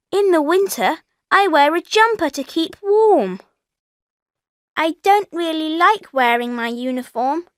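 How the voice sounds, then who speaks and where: A woman's voice: high voice, fairly bright tone, no echo, studio quality, heavily acted. A woman voicing a friendly schoolchild of about nine in a scripted language course, speaking carefully. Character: friendly nine-year-old schoolchild introducing themselves.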